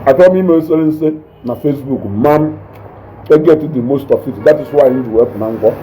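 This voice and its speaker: A man with a Nigerian accent and a naturally loud voice, hosting a casual live stream, reading viewer comments and mixing English with Igbo while he eats. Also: mid-range voice, brisk, a little hiss or hum, poor audio. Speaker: online show host reading viewer comments.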